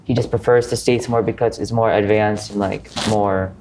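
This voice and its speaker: Hesitant young man, lowish voice. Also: fairly even intonation, normal speed, background noise. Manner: hesitant, many fillers and self-corrections. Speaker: student panelist. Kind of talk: casual panel discussion.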